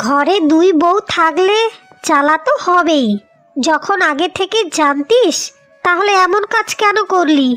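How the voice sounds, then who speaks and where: A woman's voice: very high-pitched, unhurried, a bit bright, soft background music. A woman playing a firm, chiding older village mother in a cartoon story. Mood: reproachful. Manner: chiding, advising. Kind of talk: Bengali cartoon story acting.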